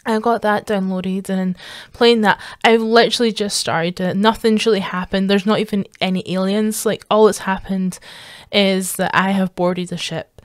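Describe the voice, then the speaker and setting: A woman's voice: mid-range voice, fairly even intonation, moderate pace, no echo, clean studio sound. A young woman with an Edinburgh accent chatting casually to her viewers, rambling with lots of fillers and restarts. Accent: Edinburgh Scottish. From Scotland. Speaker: streamer.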